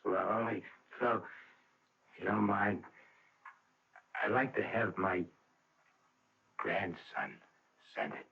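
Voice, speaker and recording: A man's voice: fairly low pitch, fairly even intonation, creaky, a little hiss or hum. A man playing a frail, ailing old convict who speaks hesitantly in a radio drama. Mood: meek, wistful. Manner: hesitant, halting. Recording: dull, distant old radio recording.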